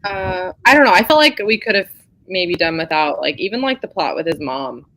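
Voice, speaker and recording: A woman's voice: lowish voice, animated, a little fast, a bit bright, rough recording. A woman chatting casually and informally about romance novels as a co-host of a book club show.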